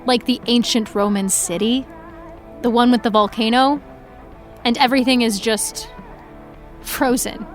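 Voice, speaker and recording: An actress playing a gloomy, weary young woman with a flat, deadpan delivery. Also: on the high side, measured pace, pauses often, soft background music, lightly acted.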